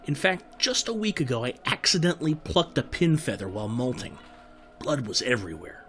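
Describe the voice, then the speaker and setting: A man's voice: mid-range voice, big pitch swings, a little fast, a bit bright, faint music behind. A man playing a harried, chatty bird who works as a postal clerk, rambling and exasperated in an audio drama. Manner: exasperated, rambling, customer-service patter.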